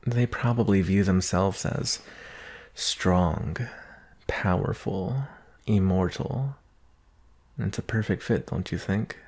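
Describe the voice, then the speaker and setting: A man acting a doting, analytical boyfriend in an intimate ASMR roleplay, gentle and musing with long pauses. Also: low-pitched, husky, exaggerated voice. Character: doting boyfriend, soft-spoken, teasing, analytical former forensics student.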